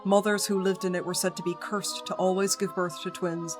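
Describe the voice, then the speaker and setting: A woman streamer reading a visual novel's text aloud in an even voice, breaking off for playful asides to her viewers. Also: lowish voice, a little fast. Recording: music playing clearly behind the voice.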